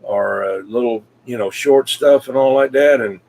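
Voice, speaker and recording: Man, mid-pitched, folksy, rambling, halting casual CB radio chat. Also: gravelly, darkish tone, rough recording. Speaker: CB radio hobbyist, video maker.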